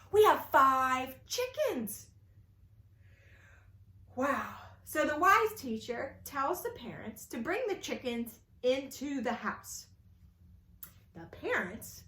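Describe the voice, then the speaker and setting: A woman telling a folktale at a children's storytime, playful and animated, inviting the kids to join in. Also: high-pitched. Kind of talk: storytime read-aloud for kids.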